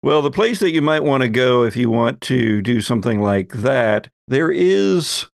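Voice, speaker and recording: A man narrating a tabletop roleplaying game as game master, pausing and deliberate as he sets out scenes and questions. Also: mid-range voice, expressive, vocal fry, no room sound, clean studio sound.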